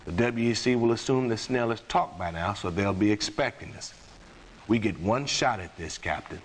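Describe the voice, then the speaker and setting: An actor playing a stern military commander in a video game, giving orders in a measured, formal, authoritative manner. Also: male voice, on the low side, slight background noise, natural voice. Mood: stern, grave. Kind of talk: video game voice acting, mission briefing.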